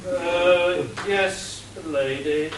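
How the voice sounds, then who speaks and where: A man playing a long-suffering butler in an amateur radio play, formal and deadpan. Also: sits high, extremely slow. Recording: roomy, distant, audible hiss.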